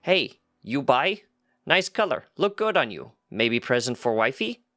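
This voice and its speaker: A man voicing a pushy female goat merchant who hawks wares in halting, broken speech for a fantasy story. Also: mid-range voice, sing-song, a bit bright, no room sound, studio quality, soft background music, voice pushed up. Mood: pushy, wheedling. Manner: halting broken-grammar sales patter.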